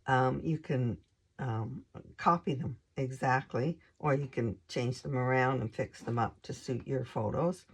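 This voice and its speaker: Slow, hoarse woman with a gravelly voice. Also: rumbling low. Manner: unhurried, hesitant, lots of ums. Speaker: craft channel host. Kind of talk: casual show-and-tell of scrapbook pages.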